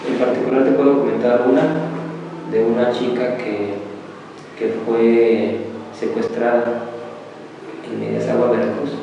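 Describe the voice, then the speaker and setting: A man gives a personal testimony as a migrant rights defender. He speaks earnestly and pauses to search for his words. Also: medium pitch, level tone, gravelly, muffled recording. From Guatemala. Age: 20s. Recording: distant echoey hall with noise.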